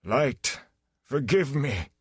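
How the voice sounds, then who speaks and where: A man's voice: medium pitch, lively intonation, extremely slow, slightly rough, dry recording, studio quality, pitched above the performer's own voice, heavily acted. A man playing an anguished, proud and wrathful lord in a fantasy audiobook, speaking in a solemn, dramatic way. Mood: pleading grief. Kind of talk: fantasy audiobook character acting.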